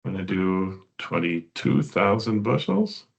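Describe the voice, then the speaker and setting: A man with a fairly low voice demonstrates software in an unscripted walkthrough. He explains one step at a time. His delivery is halting as he works through each part. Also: very animated, gravelly, lo-fi sound.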